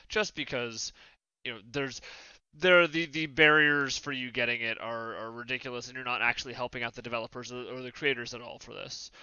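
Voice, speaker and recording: A man's voice: medium pitch, sharp tone, clear, resonant tone, no room sound, lo-fi sound. A man on a podcast panel talking casually in a discursive, hedging way, close to the mic.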